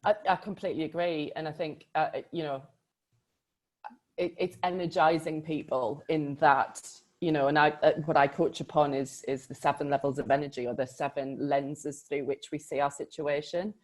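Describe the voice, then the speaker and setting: A woman's voice: sits low, fairly even intonation, moderate pace, darkish tone. A woman coach in a casual conversation about a book, speaking reflectively and with emphasis, often laughing.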